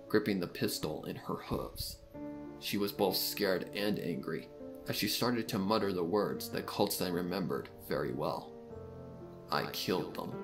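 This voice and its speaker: A man reading scripted third-person fan fiction as the narrator of a dark mystery story. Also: low-pitched.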